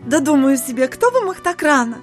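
A very high-pitched, cooing society hostess, fussy and affected, with sugar on top and cattiness underneath. It is audio drama acting, with clear music behind her. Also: very animated, fairly quick. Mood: chatty, catty. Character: sugary, fussy provincial hostess with catty streak.

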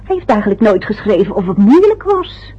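A woman's voice: mid-range voice, very animated, fairly quick, slightly rough. An actress playing a fussy, anxious older mother in a radio play, chattering and muttering to herself. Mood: fretful. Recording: dull lo-fi old radio recording.

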